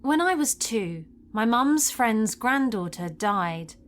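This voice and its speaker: A woman's voice: on the high side, animated, takes it slowly, fairly bright tone, slight background noise. A woman reading a scripted first-person paranormal story as a narrator.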